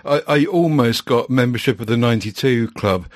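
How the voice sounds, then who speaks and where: A man's voice: medium pitch, moderate pace, dry recording, clean studio sound. A man co-hosting a podcast, chatting casually and rambling with frequent restarts. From United Kingdom. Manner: rambling, self-correcting, chatty. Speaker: podcast co-host.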